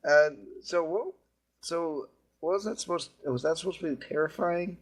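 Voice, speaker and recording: A man's voice: a little high, normal speed. A man talking casually as one of a group of listeners in a voice chat.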